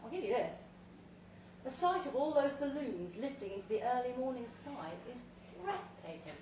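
A woman reads a short story aloud at a live event, delivering it theatrically in measured, deliberate phrases. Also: sits high, big pitch swings. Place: pub event room, read aloud live. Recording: roomy, distant live recording with faint noise.

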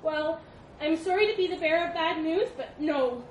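A woman's voice: very high-pitched, moderate pace, pitched above the performer's own voice. A woman voicing a small, well-meaning male monkey in an animal fable, squirming, apologetic and pleading. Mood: nervous. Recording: echoey, distant mic, noisy background.

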